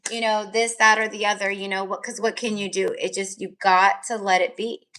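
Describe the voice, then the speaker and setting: A woman's voice: medium pitch, little pitch movement, fairly quick, a bit bright. A woman tarot reader chatting casually on a live stream, rambling with lots of fillers, her voice tired and crackly. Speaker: tarot reader and energy healer.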